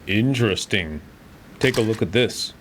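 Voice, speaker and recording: Man playing a calm doctor, very low voice, short matter-of-fact lines; noisy recording. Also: a little slow. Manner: brief, matter-of-fact remarks.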